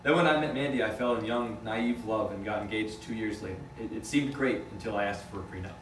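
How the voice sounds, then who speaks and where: A man playing a wry, rattled young rich businessman in a table read, with a halting, matter-of-fact delivery. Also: lowish voice, fairly bright tone, close to an everyday voice. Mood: reflective. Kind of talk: amateur script table read. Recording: echoey room, distant mic, faint noise.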